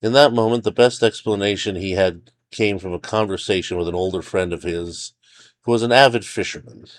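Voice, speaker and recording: A man's voice: deep voice, a little fast, slight background noise. A man reading his own fiction aloud as a scripted story narrator.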